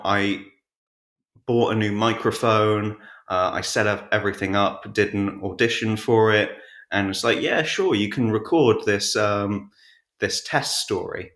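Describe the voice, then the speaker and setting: A man telling stories casually as a podcast guest, in a halting, rambling way. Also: fairly low pitch, fairly bright tone. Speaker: podcast guest, audiobook narrator. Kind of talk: casual conversational storytelling. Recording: roomy, echoey sound.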